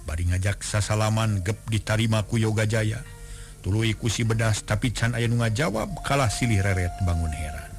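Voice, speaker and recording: A man narrating a serialized folk tale in a steady, measured storytelling voice. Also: on the low side, faint music behind.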